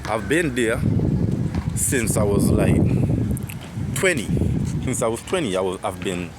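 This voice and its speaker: A man in his twenties with an Antiguan accent and a deep voice, rambling and reflective in a casual personal monologue, often repeating himself and swearing. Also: expressive, unhurried. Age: 20s. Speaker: vlogger. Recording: loud outdoor street noise.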